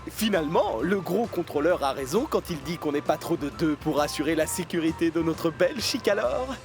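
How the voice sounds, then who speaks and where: A man's voice: fairly high pitch, animated, normal speed, music in the background. A man playing a proud but easily discouraged male fire engine in a children's cartoon, with a theatrical, emphatic delivery. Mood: content.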